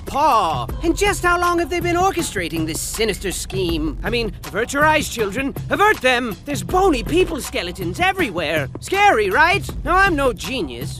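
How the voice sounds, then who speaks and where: Excitable theatrical male game narrator. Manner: theatrical, excitable, rambling, sudden pitch swings. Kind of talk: scripted comedic game narration. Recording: game audio with music behind. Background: game music.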